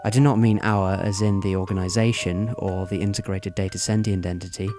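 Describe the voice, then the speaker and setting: A man playing a composed, analytical teenage schoolboy in an audio drama, speaking in a formal, measured, wordy way. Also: low-pitched, level tone, music in the background, natural voice. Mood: earnest, resolute.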